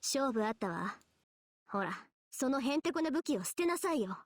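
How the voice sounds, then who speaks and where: A woman's voice: high-pitched, very animated, fast talker, no room sound, clean studio sound. A proud young girl mage from a visual novel. She carries herself with haughty confidence and taunts her opponent as someone sure of the upper hand. The delivery is polished game acting. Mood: triumphant, commanding.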